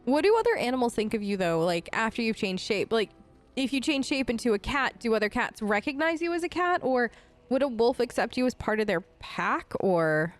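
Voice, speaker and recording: A woman's voice: a little high, sing-song, a little fast, soft background music. A woman improvising casual in-character chat for a role-play let's play, informal and slangy. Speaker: gamer role-playing her elf character.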